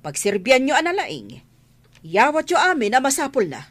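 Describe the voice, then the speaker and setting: Snooty bossy rich madam, ilocano accent, radio drama, very expressive, close dry sound. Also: female voice, high voice, moderate pace, dry recording. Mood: haughty. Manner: imperious. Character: haughty, bossy rich mistress of a mansion.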